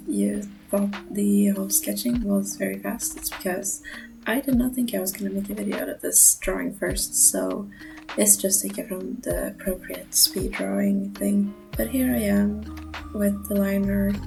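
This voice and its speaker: A young woman with a non-native English accent gives a casual, nervous voiceover over her drawing, speaking in a monotone and mumbling a little, with frequent small laughs. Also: medium pitch, measured pace, gravelly, rough recording. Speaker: art channel creator. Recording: music playing underneath.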